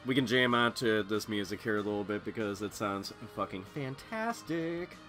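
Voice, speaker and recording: A man's voice: fairly low pitch, animated, moderate pace. A congested man hosting a gaming channel, chatting casually over gameplay in a rambling, profane way with lots of fillers. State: congested from illness. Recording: loud game music underneath. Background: loud racing game music.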